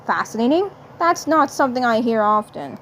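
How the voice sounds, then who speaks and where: A woman's voice: on the high side, very animated, moderate pace, a bit bright, a little hiss or hum. A woman voicing a curious, wry male alien commander who teases the other figure. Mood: teasing. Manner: teasing, wry.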